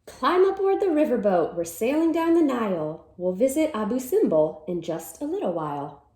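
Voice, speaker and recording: A woman's voice: a little high, very animated, moderate pace, dark tone, roomy sound. A woman teacher reading a picture book aloud to children, with sing-song verses and simple explanations.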